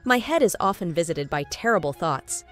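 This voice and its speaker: A woman's voice: on the low side, big pitch swings, brisk, fairly bright tone, soft background music. A woman voicing a moody purple cartoon cat in a comic dub, theatrical and swinging between sweet and snappish. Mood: bitter, brooding. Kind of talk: animated comic dub acting.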